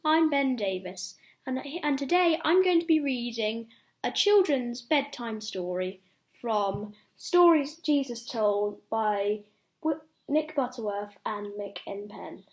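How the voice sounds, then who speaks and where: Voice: medium pitch, expressive, slow pace. A young reader reading a children's bedtime story aloud, expressively, with explanatory asides to little listeners.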